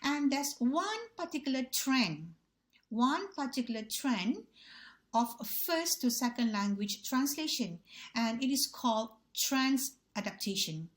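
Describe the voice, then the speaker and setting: A woman's voice: high voice, sing-song. Malaysian woman lecturing on translation. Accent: Malaysian English. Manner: deliberate, repeats key phrases, addresses audience as ladies and gentlemen. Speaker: university lecturer. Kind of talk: recorded revision lecture.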